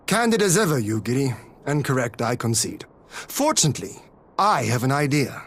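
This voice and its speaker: A man playing an assured, idealistic young lord in a fantasy game, speaking in formal, measured, eloquent phrases. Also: mid-range voice, very animated. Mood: wry, agreeable. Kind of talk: scripted fantasy game acting.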